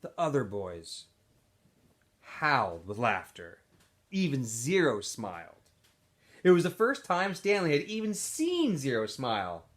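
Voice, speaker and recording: A man reading a storybook aloud to students in an animated, expressive way, with casual asides to his listeners. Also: medium pitch, unhurried.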